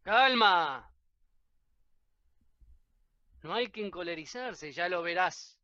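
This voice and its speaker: A woman's voice: on the low side, lively intonation, unhurried, pushed and bright, no echo, muffled recording. A woman playing an imposing, cold and commanding male judge in a radio play. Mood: cold menace.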